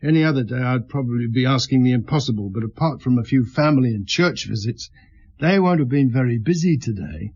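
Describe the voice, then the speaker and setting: An actor playing a calm, middle-aged chief inspector with quiet authority in a radio drama. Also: male voice, mid-range voice, fairly quick, muffled recording. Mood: calm, authoritative.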